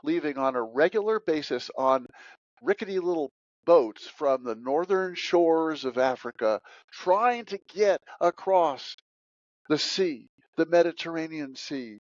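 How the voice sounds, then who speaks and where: A man's voice: a little high, lively intonation, a little slow, no echo. Emphatic man on dull, dry audio. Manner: emphatic, preachy, repeats key words. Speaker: podcast guest. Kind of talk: interview talk.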